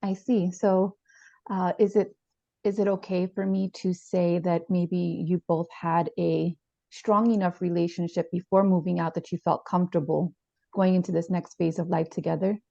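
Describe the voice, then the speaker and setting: An actress playing a therapist, asking measured, gentle questions. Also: female voice, lowish voice, little pitch movement, creaky, dry recording, lo-fi sound. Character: calm, probing female therapist. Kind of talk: improvised dramatized therapy session.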